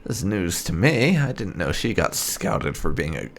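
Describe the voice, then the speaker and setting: A man's voice: deep voice, big pitch swings, moderate pace, a little hiss or hum. A man giving roleplay commentary over a game playthrough, musing and hesitant, with a dry delivery. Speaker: gaming video host.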